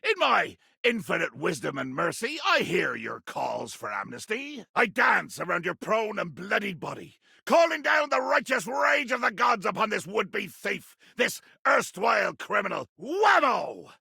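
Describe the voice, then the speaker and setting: An actor playing a small, hot-tempered, pompous pirate in a video game, with a gravelly, raspy voice and florid, theatrical, old-fashioned phrasing. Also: male voice, high voice, sing-song, measured pace, hardly any pauses, pushed and bright, no room sound, studio quality, heavily acted. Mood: triumphant, bombastic. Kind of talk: video game character acting.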